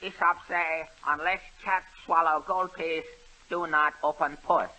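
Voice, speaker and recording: A man's voice: a little high, steady tone, unhurried, pushed and bright. A man doing a pompous bumbling detective in clipped broken speech. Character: bumbling male pidgin-talking detective Longpan. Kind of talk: 1930s radio comedy sketch. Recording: old noisy radio broadcast.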